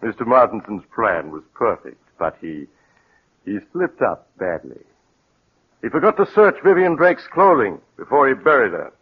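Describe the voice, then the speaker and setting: A shrewd accusing doctor, lively pitch. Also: male voice, husky. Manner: deliberate, probing, dramatic pauses. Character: shrewd, accusing police doctor, calm and relentless. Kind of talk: 1940s radio drama acting. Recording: dull old radio broadcast.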